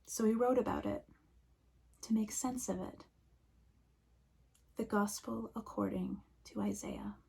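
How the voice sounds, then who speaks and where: A woman minister giving a reflective sermon, speaking slowly and softly, often dropping to a near whisper. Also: medium pitch, fairly even intonation, creaky, husky.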